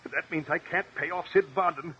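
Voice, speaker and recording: An actor playing an anxious crooked American politician in a mid-century radio drama, with a tense, clipped delivery. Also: male voice, fairly high pitch, fairly quick, sharp tone. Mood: tense, grim. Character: anxious crooked male politician in debt to gamblers. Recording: noisy old radio drama recording.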